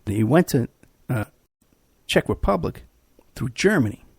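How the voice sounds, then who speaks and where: A man hosting a podcast, ranting in an animated, repetitive talk-radio monologue, hoarse and losing his voice. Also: mid-range voice, moderate pace, no room sound. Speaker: podcast host.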